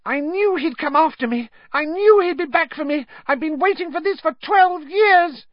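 A man reading an audiobook story, voicing a cowardly, cornered male traitor in a shrill, squealing, panicky, pleading voice. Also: animated, moderate pace, dry recording, dull audio. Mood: terrified. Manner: panicky, pleading, stammering protests.

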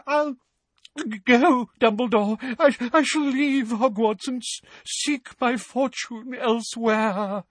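A man's voice: very high-pitched, slow pace, dry recording, voice pushed up, heavily acted. Man putting on a sobbing, trembling woman seer. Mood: distraught. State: sobbing, choked with tears.

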